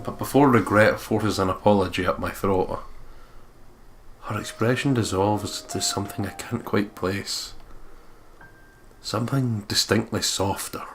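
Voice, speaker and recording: Low-voiced man narrating over guitar music. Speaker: let's play host reading a visual novel aloud. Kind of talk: expressive scripted narration with casual asides. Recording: guitar game music behind voice. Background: acoustic guitar game soundtrack.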